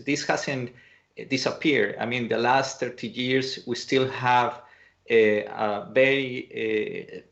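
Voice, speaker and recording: Man from Colombia presenting over a video call, halting delivery, gravelly tone, muffled sound. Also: fairly low pitch, fairly bright tone. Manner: hesitant, many fillers and restarts. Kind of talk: online conference talk.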